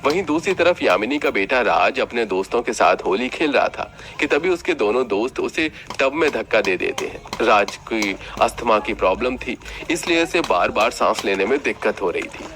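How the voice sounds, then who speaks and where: A man's voice: medium pitch, lively intonation, moderate pace, hardly any pauses, a bit bright. A man narrating a scripted children's story as the storyteller.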